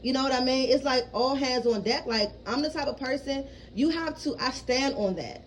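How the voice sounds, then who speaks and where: A woman's voice: high voice, normal speed, a little hiss or hum. Blunt, swearing woman in her thirties. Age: 30s. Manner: frank and blunt, swears freely; rambling, keeps asking "you know what I mean". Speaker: vlogger. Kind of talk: casual personal vlog talk.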